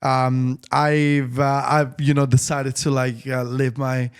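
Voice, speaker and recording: A man with an Italian accent chats loosely in an interview, circling back and restarting his sentences. His voice sits at an ordinary middle pitch, and he keeps talking with animated energy. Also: slow pace, clean tone. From Italy. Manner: rambling, many restarts and fillers, animated. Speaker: tailor being interviewed. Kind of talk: casual interview conversation.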